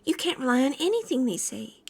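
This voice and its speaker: A woman voicing a serious, skeptical and quietly mysterious young woman in measured video game dialogue. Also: high voice, sing-song, husky, exaggerated voice. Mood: suspicious.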